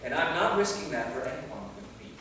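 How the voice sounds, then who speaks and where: A man's voice: mid-range voice, lively intonation, a little fast, natural voice. A man acting an anxious, earnest, centuries-old vampire knight in a live staged play reading. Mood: earnest, pleading. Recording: echoey hall from far off, faint noise.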